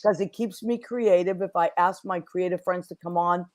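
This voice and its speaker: A woman's voice: lowish voice, moderate pace, darkish tone. Bubbly New woman hosting. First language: Italian. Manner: bubbly, effusive. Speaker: host. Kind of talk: casual interview chat.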